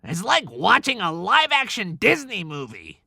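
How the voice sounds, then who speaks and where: Creaky, bright, excitable little sidekick. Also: mid-range voice, a little slow, dry recording, studio quality, full character voice. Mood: wry. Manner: sarcastic, animated, mouthy. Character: excitable, stubborn, wisecracking bearded male sidekick to Santa. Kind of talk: cinematic audiobook character acting.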